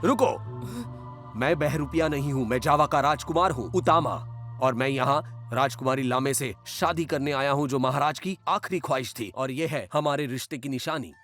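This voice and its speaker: A man's voice: medium pitch, moderate pace, a bit bright, faint music behind, lightly acted. A man playing a brave, determined young prince in a cartoon dub, speaking earnestly. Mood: defiant, earnest. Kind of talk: Hindi cartoon dub acting.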